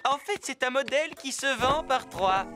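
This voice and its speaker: A woman voicing a male fox salesman in a cartoon dub, with a smooth sales patter. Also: sits high, expressive, moderate pace. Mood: upbeat salesman. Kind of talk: cartoon dubbing. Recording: music underneath.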